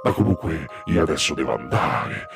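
A man's voice: a bass voice, lively intonation, moderate pace, vocal fry, fairly bright tone, faint music behind, exaggerated voice. A man playing a menacing, contemptuous villain in a comedy radio sketch, speaking pompously and theatrically with insults and gloomy grandeur. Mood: ominous.

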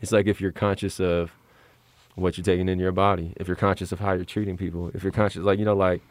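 A man's voice: deep voice, flat delivery, no echo. An American man in reflective, informal conversation, feeling his way through thoughts with lots of repeated phrases. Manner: informal, halting, filler "like" and "you know what I mean". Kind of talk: casual reflective chat.

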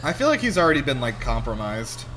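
A man's voice: mid-range voice, big pitch swings, fast, fairly bright tone, slight background noise. A man giving casual, wry, joking commentary as he reacts to a film. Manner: wry, mocking. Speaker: reaction show host. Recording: film soundtrack music behind. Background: film being watched, music.

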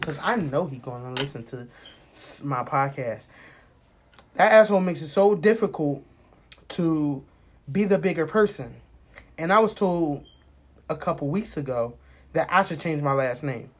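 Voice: mid-range voice, expressive, measured pace. Candid, sweary American podcast host, late twenties. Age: late 20s. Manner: candid, emphatic, profane. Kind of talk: casual personal podcast talk, rambling.

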